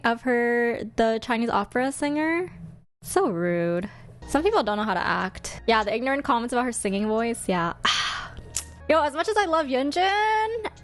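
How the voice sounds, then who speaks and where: Animated, high-pitched woman streamer. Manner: giggly, expressive, exclaiming. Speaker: livestream host reacting to game trailers. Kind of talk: casual live stream commentary. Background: music from game trailers.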